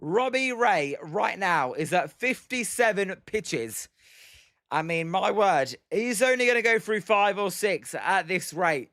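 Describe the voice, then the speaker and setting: A young British man with a fairly high voice gives loose play-by-play while reading out chat. His voice is slightly croaky, and he fills gaps with "um" and "as". The sound is close and dry, with no room around it. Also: animated, unhurried, a bit bright, dry recording. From Britain. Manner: rambling, many "um"s and "as" fillers. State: slightly croaky from daily streaming. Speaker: live-stream baseball commentator. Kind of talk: casual play-by-play with chat replies.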